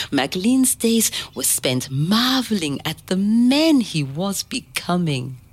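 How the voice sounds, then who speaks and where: A woman playing a loving, firm grandmother who tells a bedtime story in a measured, tender, expressive way. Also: on the high side, slightly rough, exaggerated voice. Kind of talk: scripted dramatic story narration.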